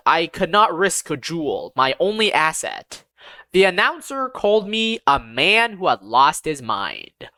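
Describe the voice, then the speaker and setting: An audiobook narrator reading a first-person story in a measured, deliberate way, with dramatic emphasis on key phrases. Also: medium pitch, pushed and bright, no room sound.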